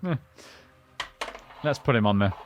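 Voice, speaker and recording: A man's voice: fairly low pitch, animated, a little slow, highs cut off, faint music behind. A man giving a casual unboxing and review, talking informally and thinking aloud as he goes. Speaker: toy reviewer and collector.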